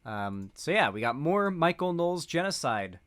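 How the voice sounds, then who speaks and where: A man's voice: fairly high pitch, sing-song, normal speed, a bit bright. A man giving casual commentary on clips, rambling with frequent fillers and restarts. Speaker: political commentator.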